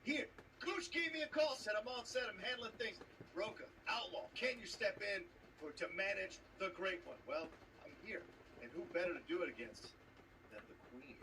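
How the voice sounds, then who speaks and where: A man's voice: high-pitched, animated, moderate pace. A bombastic, combative man delivering a showman's wrestling-style promo as a team manager. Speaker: team manager in a trivia league. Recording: noisy, distant-miked event audio.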